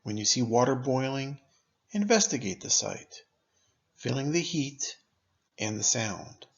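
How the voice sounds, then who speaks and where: A man narrating a scripted guided meditation in a measured, instructive manner, pausing deliberately between phrases. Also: mid-range voice, animated, creaky, muffled recording.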